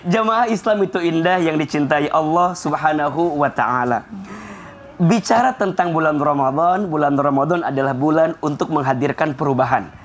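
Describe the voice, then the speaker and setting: A man in his thirties preaching in an energetic, emphatic way on a television studio set, speaking into a lapel mic. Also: fairly high pitch. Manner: energetic, emphatic, enumerating points. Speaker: Islamic preacher on a TV show. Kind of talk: television sermon.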